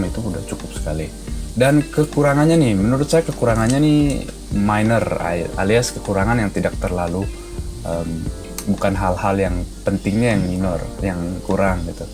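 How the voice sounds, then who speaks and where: A man with an Indonesian accent giving a casual, chatty gadget review to viewers, explaining things informally. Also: on the low side. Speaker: product reviewer.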